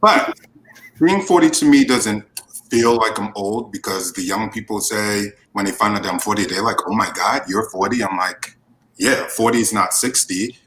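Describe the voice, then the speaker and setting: A man's voice: on the low side, normal speed, a bit bright, a little hiss or hum, low-quality recording. A man in his forties, a guest in a casual chat, talking frankly and animatedly about his life. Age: 40s.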